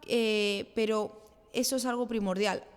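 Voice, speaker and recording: A woman's voice: fairly high pitch, steady tone, a little slow, clear, resonant tone. A woman speaking as a podcast guest in a conversational interview, explaining her points and acting out little bits of self-talk. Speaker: guest, children's pastor. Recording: echoey hall-like reverb.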